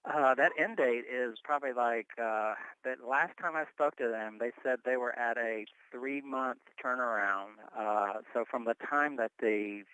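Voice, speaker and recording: Clear-voiced man explaining things over a conference call. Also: mid-range voice, a little slow, few pauses, pushed and bright, no room sound, rough recording. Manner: explanatory, step-by-step, conversational. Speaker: board member reporting on tax filing. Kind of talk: informal spoken report at a meeting. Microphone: telephone. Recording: telephone conference call audio.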